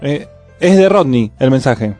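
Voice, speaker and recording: An Argentine man with a Buenos Aires accent co-hosts a radio show in a medium-pitched voice. He talks in a loose, conversational style, passing on listings. There is faint music underneath. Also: animated, measured pace, a little mellow. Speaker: radio co-host. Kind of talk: casual radio chat, gig listings.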